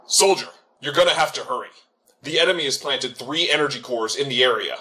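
A man's voice: mid-range voice, fairly quick, bright, forceful tone, natural voice, poor audio. A man voicing a serious, authoritative older military captain giving mission orders in a video game. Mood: urgent. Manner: authoritative, urgent. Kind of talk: scripted game mission briefing.

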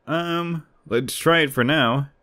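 A man's voice: a little high, takes it slowly, voice pushed up. A man voicing an eager, fawning male online game guildmate in a manga dub. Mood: friendly, grateful. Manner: excitable, fawning.

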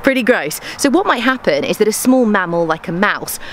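A woman's voice: medium pitch, animated, a little fast, a little hiss or hum. A young woman hosting a casual outdoor vlog in a garden, explaining to viewers in a chatty, upbeat way. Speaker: YouTube host. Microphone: handheld camera close up through a bee veil. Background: background music.

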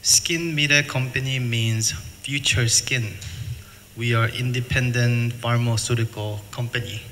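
A middle-aged man from the United States presenting at a seminar, speaking plain, halting English and repeating words. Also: mid-range voice, steady tone, pushed and bright. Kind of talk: conference presentation. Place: hotel function room. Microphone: table mic, filmed from afar. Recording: reverberant hall, faint noise.

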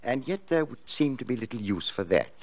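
An actor playing a formal, probing family solicitor in a radio drama, speaking deliberately with polite hesitations. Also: male voice, mid-range voice, sing-song, darkish tone.

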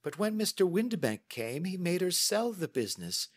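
Man putting on a high, flustered young woman. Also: sing-song, normal speed, husky, no room sound, studio quality, heavily acted. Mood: chatty. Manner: flustered, rambling, earnest. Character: anxious, good-humored, chatty large young woman client. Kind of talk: audiobook character dialogue.

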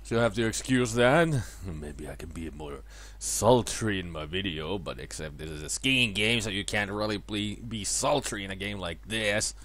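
A man's voice: on the low side, normal speed, fairly bright tone. A man in his thirties with a sore throat, chatting casually over an old ski game as a let's player, rambling and correcting himself with bursts of cheering. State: sore throat, voice lower than usual. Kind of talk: casual gameplay commentary.

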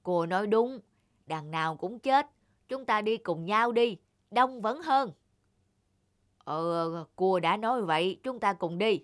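A man voicing a big, gruff forest animal in a folk-tale cartoon, speaking slowly and weightily. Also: sits high, expressive, exaggerated voice. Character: big, gruff male forest beast, bear or tiger. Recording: loud music underneath.

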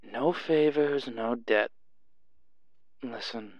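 A man performs a suave underworld club owner for an audio roleplay. He talks slowly, in a teasing, flirtatious purr. The sound is slightly dull, like a call. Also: medium pitch, no echo. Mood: smooth, assured. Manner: purring, unhurried, teasing.

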